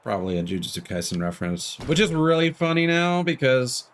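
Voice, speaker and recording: A man's voice: medium pitch, big pitch swings, fairly quick. A man giving casual, rambling live gameplay commentary with slangy asides. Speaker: game streamer. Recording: clear background noise with clicks.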